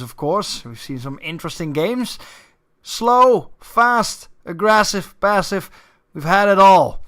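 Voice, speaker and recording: Guy doing live game commentary, bright forceful voice, kinda high, hypes things up. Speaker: esports tournament commentator and host.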